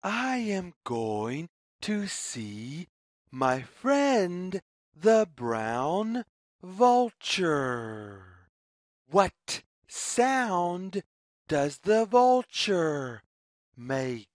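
A storyteller reading a children's read-along story in deliberate, sing-song phrases with pauses. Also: medium pitch, sing-song, no echo, studio quality. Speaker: read-aloud narrator.

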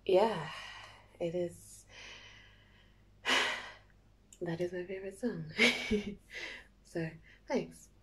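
A woman playing a playful, nervous young date in an intimate ASMR roleplay, soft and halting, often near a whisper. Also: fairly low pitch, breathy edge, exaggerated voice. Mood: playful, giggly. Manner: halting, giggly, teasing.

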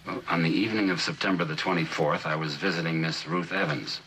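An actor playing a guarded, reluctant middle-aged witness in a 1960s TV courtroom drama, answering carefully and haltingly in a husky voice. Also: male voice, low voice, steady tone, noisy background, close to an everyday voice.

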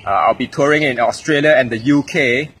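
A man's voice: mid-range voice, a little fast, fairly bright tone, slight background noise, low-quality recording. A young man from Singapore, recording an upbeat promo to camera in an outdoor courtyard, with a wry aside. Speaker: comedian. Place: outdoor courtyard by apartment blocks.

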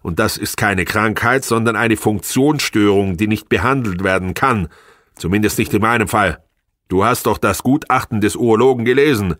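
A weary, stubborn husband in an audiobook, acted by a man. His voice is fairly low and closely recorded without room sound. He keeps himself controlled, arguing defensively and trying to reason. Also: normal speed, fairly bright tone, dry recording, studio quality, close to an everyday voice. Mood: matter-of-fact, defensive. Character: weary, cornered husband, stubbornly unimpressed, placating. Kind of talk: audiobook character dialogue.

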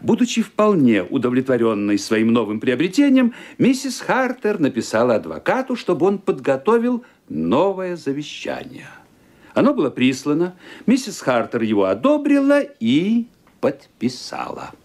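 A man narrating a literary radio drama in an expressive storytelling manner, building suspense. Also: on the high side, moderate pace. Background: violin music.

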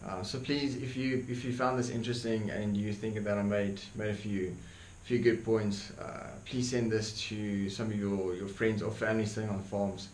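Young Afrikaans-accented man, earnest opinion vlogger, fairly low voice, echoey room. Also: flat delivery, moderate pace, darkish tone. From South Africa. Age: young adult. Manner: earnest, frequent ums and repeated words, gestures with hands. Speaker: YouTuber. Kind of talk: opinion monologue to camera. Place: dark indoor room, seated by desk. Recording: echoey room with faint hiss.

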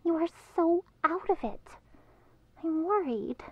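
A woman's voice: very high-pitched, lively intonation, slow pace, highs cut off, a little hiss or hum, heavily acted. A woman playing a vampire's young servant, anxious and loyal, in a casual, questioning audio roleplay. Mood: worried. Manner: hesitant, colloquial, questioning.

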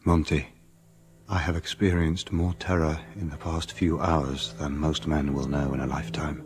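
An actor playing a haunted, doomed gentleman voicing a desperate letter with solemn dread in a radio drama. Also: a bass voice, little pitch movement, moderate pace, a little hiss or hum.

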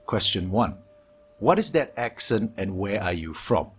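A man from Singapore with a Singaporean English accent, reading a scripted gaming Q&A voice-over in a steady, measured way from his home hobby room, his s sounds sharp and a low hum behind him. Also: breathy edge. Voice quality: strong sibilance, low hum from aquarium pumps. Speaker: gaming YouTuber.

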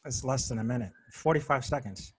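A man's voice: mid-range voice, a little fast, husky. A man in his thirties or forties chatting casually as the host of an interview podcast. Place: room at home, indoors. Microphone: close desk microphone, headphones.

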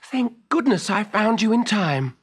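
A man's voice: sits high, sing-song, unhurried, husky, voice pushed up, full character voice. A man voicing a brave, anxious young girl adventurer with urgent, breathless exclamations in a children's story. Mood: relieved.

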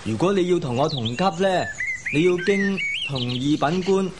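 An actor playing an obliging, good-humoured household servant, indulgent and deferential, in a radio drama. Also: male voice, on the high side, lively intonation, moderate pace, background noise, natural voice. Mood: cheerfully boastful.

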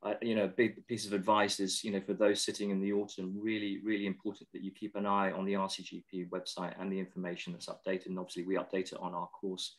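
A man's voice: low-pitched, completely flat delivery, fast, few pauses. A man in his thirties, hosting and tutoring, asks interview questions in an encouraging way over a video call from an indoor room. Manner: encouraging, many affirming yeses. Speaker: host and exam tutor.

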